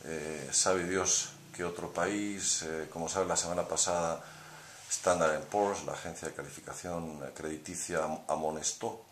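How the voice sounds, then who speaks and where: Deep-voiced guy interviewing, chatty and probing, keeps checking you agree, echoey room. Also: fairly even intonation, a little slow. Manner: conversational, probing, seeks agreement. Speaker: interviewer, host. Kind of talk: interview questions. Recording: echoey room, faint hiss.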